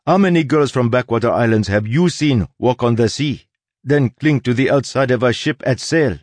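A man's voice: medium pitch, normal speed, a little mellow, dry recording, voice pushed up, close to an everyday voice. A man voicing a stern, straightforward sailor woman in an audiobook, with urgent, persuasive delivery. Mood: insistent.

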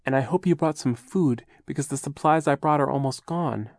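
A woman's voice: moderate pace, darkish tone, no echo, clean studio sound. A man voicing a fussy, fearful older woman guardian who frets and corrects grammar. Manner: high, fretful, scolding. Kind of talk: audiobook character voice.

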